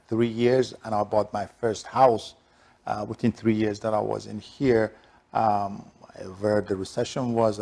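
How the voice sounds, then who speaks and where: Middle aged iranian guy, persian accent, gravelly creaky voice, answering interview questions. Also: on the low side, darkish tone. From Shiraz, southern Iran. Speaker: interview guest, real estate broker.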